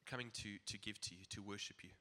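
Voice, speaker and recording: A man's voice: lowish voice, fast talker, pushed and bright. Nasal-sounding man preaching with a cold. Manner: casual, chuckling asides. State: stuffy blocked nose. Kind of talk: sermon.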